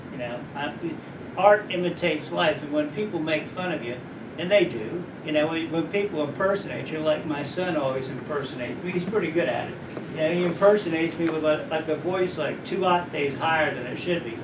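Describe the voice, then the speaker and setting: A middle-aged man with a high voice giving an informal, rambling talk to students and asking them questions. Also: a little fast. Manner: rambling, anecdotal, asks the audience questions. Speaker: guest speaker. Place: college lecture room. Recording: echoey room, distant mic, background noise.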